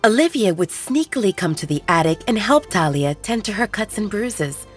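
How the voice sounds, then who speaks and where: A woman reading a romance story aloud as a calm, even narrator. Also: mid-range voice, normal speed, breathy edge, soft background music. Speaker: audiobook narrator.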